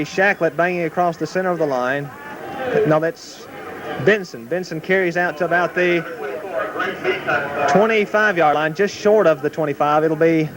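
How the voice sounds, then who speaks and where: A young man calls a high school football game at night in an outdoor stadium, keeping an even play-by-play and breaking into excited shouts on the big runs. His voice sits high for a man. The amateur 1970s tape carries a lot of hiss and noise under him. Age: young adult. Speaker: play-by-play announcer. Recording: noisy amateur 1970s recording.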